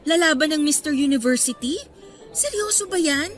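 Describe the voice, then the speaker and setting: A woman playing a good-natured, teasing young college student in a radio drama, girlish and expressive. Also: high voice, normal speed, soft background music. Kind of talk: Filipino radio drama acting.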